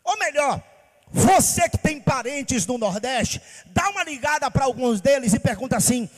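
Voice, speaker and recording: Brief: man from Bahia, pastor, very high voice, impassioned sing-song preaching, long hall reverb. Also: expressive, normal speed. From Bahia, Brazil. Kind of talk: sermon.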